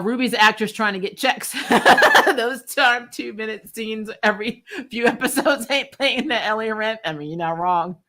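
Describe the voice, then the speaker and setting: A woman hosting a casual fan livestream, talking in an animated, blunt, jokey way with some swearing. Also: mid-range voice. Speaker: show host reviewing superhero TV and reading live comments. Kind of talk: casual livestream chat, rambling fan commentary.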